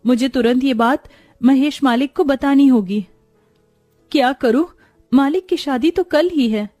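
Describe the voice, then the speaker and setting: A woman's voice: high-pitched, a little slow, warm tone, no room sound, soft background music, voice pushed up. A woman voicing a loyal, anxious young servant woman in a moral story, earnest and deferential. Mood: shocked, worried.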